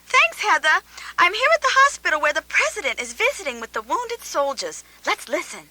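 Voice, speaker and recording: A woman's voice: very high voice, very animated, a little fast, sharp tone, full character voice. A woman playing an earnest young assistant or reporter in a satirical radio comedy. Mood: flustered, earnest.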